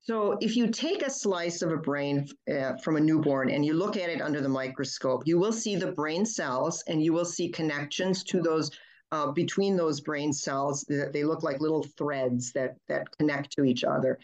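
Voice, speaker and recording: A woman's voice: low-pitched, normal speed, darkish tone. A woman pediatrician from Michigan, German as her first language, explaining child development in an interview with many ums and restarts. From Michigan, USA. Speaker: pediatrician guest.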